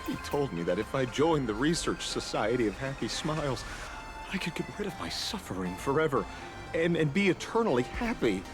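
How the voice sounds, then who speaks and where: A man's voice: mid-range voice, big pitch swings, over music, natural voice. An actor playing a hesitant, troubled young man seeking help in a video game, stammering as he speaks. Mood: timid, troubled. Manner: halting, stammering.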